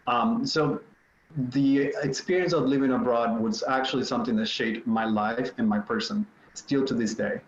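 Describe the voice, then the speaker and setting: A man in his fifties from Puerto Rico, a native Spanish speaker with a Puerto Rican accent, telling his career story at a relaxed pace with frequent ums. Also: medium pitch. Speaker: panelist. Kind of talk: virtual panel talk. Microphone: video call mic. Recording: roomy, dull-toned home setup.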